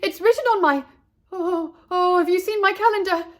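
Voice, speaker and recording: A woman's voice: very high voice, normal speed, pitched above the performer's own voice. A woman voicing a fretful nanny in a children's storybook, flustered, breathless and stammering.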